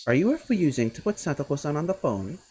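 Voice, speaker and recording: A man's voice: fairly high pitch, big pitch swings, fast talker, mellow, pitched above the performer's own voice. Man doing a firm, formal caseworker. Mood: insistent. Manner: formal and insistent. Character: polite, firm adult social worker.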